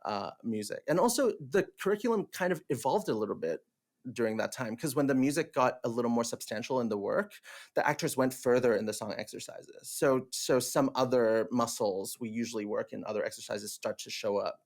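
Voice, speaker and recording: A man with a gravelly, fry-edged voice at a middle pitch. He is from Hong Kong, a Cantonese native, and chats animatedly as a theatre director on a podcast, laughing often and restarting his sentences. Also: lively intonation, fairly quick, a little mellow. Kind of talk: casual podcast conversation.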